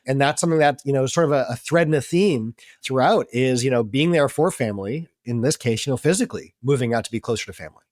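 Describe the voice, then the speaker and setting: A man's voice: medium pitch, darkish tone, no room sound, clean studio sound. A middle-aged American man from the Boston area, telling stories conversationally as a podcast guest in an informal, chatty way. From Boston area, US East Coast. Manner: chatty, informal, talks fast. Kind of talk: conversational interview storytelling.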